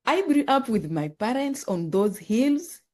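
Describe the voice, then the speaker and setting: A woman from Uganda, telling her life story as a podcast guest, earnest and halting, often repeating words. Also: a little high, sing-song, darkish tone. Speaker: guest, author and nonprofit founder.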